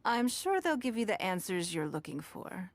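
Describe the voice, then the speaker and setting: An actress voicing a composed, shrewd and wealthy woman who hosts an auction in a game, speaking in a measured, faintly amused way. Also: mid-range voice, very animated, a little hiss or hum. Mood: poised. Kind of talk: game voice acting.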